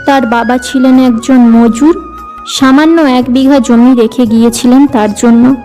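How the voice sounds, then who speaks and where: Female narrator for animated tale, high-pitched, warm dark tone, scripted delivery. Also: measured pace, faint music behind. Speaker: storyteller, narrator. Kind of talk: scripted cartoon story narration.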